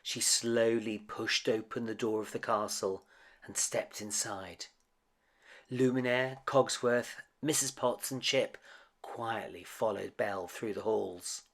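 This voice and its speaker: A man reading a picture book aloud to children as an expressive, dramatic storyteller. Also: fairly low pitch, slow pace. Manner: dramatic, with emphatic swells and hushed drops.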